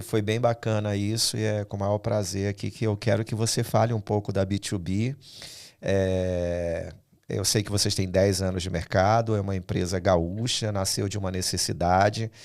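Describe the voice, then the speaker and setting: Low-voiced man hosting a podcast. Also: steady tone, normal speed, vocal fry, darkish tone. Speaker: podcast host. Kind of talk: casual interview conversation.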